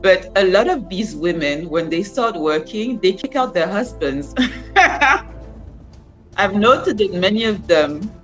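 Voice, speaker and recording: A woman's voice: mid-range voice, expressive, slow pace, soft background music, rough recording. A woman from Cameroon in her forties, a fashion brand founder talking reflectively about her life in an interview. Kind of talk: interview conversation.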